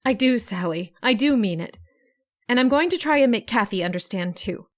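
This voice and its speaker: A woman's voice: fairly high pitch, animated, natural voice. An actress playing a scheming, domineering woman in old-time radio suspense style. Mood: feigned remorse. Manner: halting when apologetic, sharp and commanding when plotting. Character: scheming, domineering woman plotting murder, feigning meek remorse.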